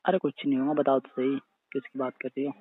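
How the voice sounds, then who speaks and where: A man's voice: mid-range voice, little pitch movement, fast talker, breathy edge. A man chatting casually on a recorded phone call, questioning and protesting defensively.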